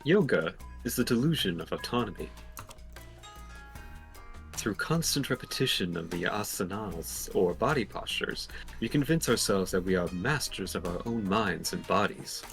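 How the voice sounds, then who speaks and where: Man as philosophical yoga guru for an anime mystery game: low, lilting, measured and preachy, music behind. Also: lively intonation, gravelly, fairly bright tone, exaggerated voice. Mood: serene lecturing. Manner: measured, formal, serene lecturing.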